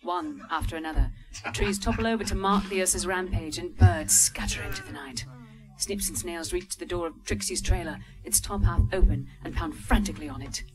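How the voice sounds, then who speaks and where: A woman reading stage directions at a live script reading, brisk and amused, with the odd slip. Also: fairly low pitch, poor audio. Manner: brisk, amused, occasional slips.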